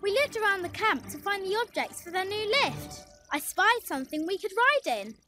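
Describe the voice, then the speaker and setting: A woman's voice: extremely high pitch, animated, normal speed, bright, forceful tone. A woman reading a scripted children's story expressively, addressing young listeners, with music behind her. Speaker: storybook narrator. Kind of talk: animated children's scripted narration.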